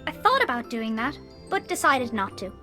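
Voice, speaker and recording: A woman voicing a plucky, adventurous young heroine in a video game, with a bright, spirited delivery. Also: a little high, big pitch swings, normal speed. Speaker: game character. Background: loud game music.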